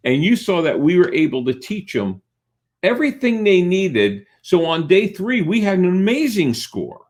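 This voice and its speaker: A man's voice: high-pitched, very animated, moderate pace, darkish tone. A man hosting a podcast interview as a sales coach, speaking directly and checking in with short tag questions. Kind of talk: conversational interviewing.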